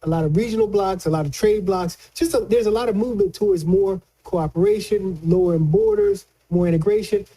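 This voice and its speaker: A man giving conversational political commentary as a news analyst, colloquial and halting, often repeating words as he explains. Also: sits high, dark tone, poor audio.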